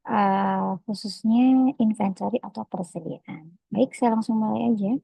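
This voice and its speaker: A woman with an Indonesian accent giving a lecture that explains slides, speaking hesitantly with frequent pauses and filler phrases. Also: mid-range voice, gravelly, dark tone, slightly rough, no room sound. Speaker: accounting lecturer.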